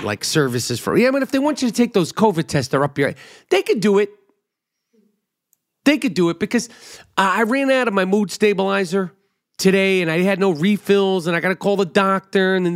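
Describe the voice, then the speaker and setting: A man hosting a podcast, rambling through a profane, self-mocking comedic monologue. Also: high-pitched. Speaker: podcast host.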